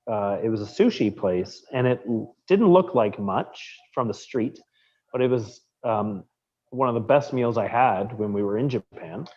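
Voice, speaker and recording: A man co-hosting a casual live-stream chat, talking in a relaxed, rambling way with lots of fillers and restarts. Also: lowish voice, measured pace, lo-fi sound. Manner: rambling and relaxed, with many fillers and self-corrections. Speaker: co-host of a knife shop Q&A stream.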